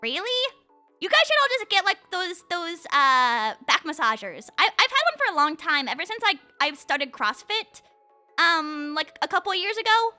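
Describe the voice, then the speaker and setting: A woman's voice: extremely high pitch, big pitch swings, normal speed, bright, forceful tone, faint music behind. A woman in her twenties chatting casually as a livestream host, with a playful, rambling manner and lots of filler "like". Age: 20s. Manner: playful, rambling, says "like" constantly. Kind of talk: casual chat.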